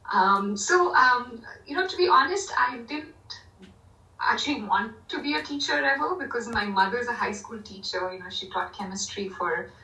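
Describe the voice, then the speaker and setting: Woman from india chatting bout her career, bright voice, kinda far off in an echoey room. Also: on the high side, animated, moderate pace. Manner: thoughtful, many "you know" fillers, occasional laughs. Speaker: guest, university Hindi lecturer. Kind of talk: conversational interview answers. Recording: echoey room, distant from mic.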